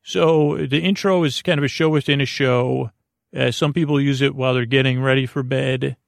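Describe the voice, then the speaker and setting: A man hosting a bedtime podcast in a creaky voice, meandering and halting as he loses his thread and circles back. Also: mid-range voice, fairly even intonation, no room sound, studio quality. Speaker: podcast host. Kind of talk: rambling bedtime podcast monologue.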